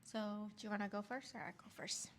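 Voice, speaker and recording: A young woman telling a story time on her channel, at an ordinary pitch for a woman. She is chatty and upbeat and keeps turning to her viewers as she talks. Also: steady tone, brisk, slight background noise. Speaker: vlog host, wife. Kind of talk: casual story time chat.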